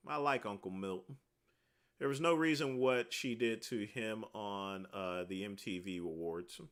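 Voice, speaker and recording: A man hosting a casual livestream, answering viewer questions one after another in a rambling way, with a deep voice. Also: measured pace. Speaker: livestream host answering chat.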